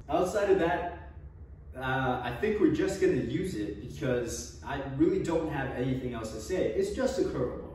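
A man's voice: medium pitch, a little mellow. Twenties male fitness vlogger, offhand and jokey, recorded at a distance in a reverberant garage. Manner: joking, offhand, trails off with small laughs. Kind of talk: casual equipment review. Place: home garage gym. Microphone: camera across the room. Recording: echoey garage, voice off-mic.

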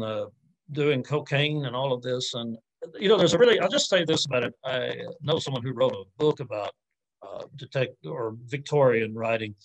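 Male academic, forties, mid-pitched voice. Informal Q&A delivery, hesitant, reworks sentences as he goes. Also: rough recording. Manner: hesitant, thinking aloud, often rephrasing mid-sentence. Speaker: academic lecturer answering audience questions. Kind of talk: informal literary Q&A talk. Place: indoors on a video call.

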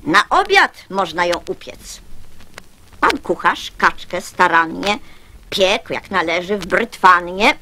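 Woman, theatrical children's verse, bright, animated, slight background noise. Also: on the low side, takes it slowly. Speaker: poetry reciter. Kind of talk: theatrical children's poem recitation.

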